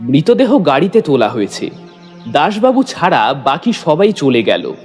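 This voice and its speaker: A man's voice: fairly high pitch, wildly swooping pitch, a little slow, darkish tone, husky, soft background music. A man narrating a story as dramatic scripted audio.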